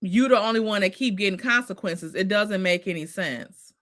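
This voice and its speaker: Bright, sassy woman host. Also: medium pitch, normal speed. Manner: sassy, exasperated, laughs often. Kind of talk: casual live commentary on reality drama.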